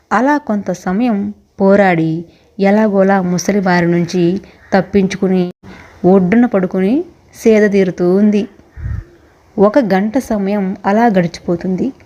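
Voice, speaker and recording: Mellow female storyteller, slightly dull audio. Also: medium pitch, measured pace, long pauses. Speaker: story narrator. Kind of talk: scripted children's story narration.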